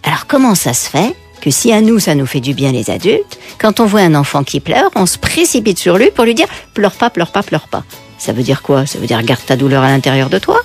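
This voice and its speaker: A Parisian woman, a psychotherapist guest on a radio show, explaining calmly in a teacherly way. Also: deep voice, fairly quick, soft background music. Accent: Parisian French. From Paris, France. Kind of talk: explanatory interview answers.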